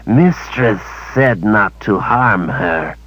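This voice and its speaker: A man voicing a squat, whining creature servant who speaks in slow, broken phrases. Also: fairly low pitch, animated, fairly bright tone, a little hiss or hum, pitched above the performer's own voice, low-quality recording. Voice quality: slow, halting, broken speech. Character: squat creature servant, whiny, crude speech.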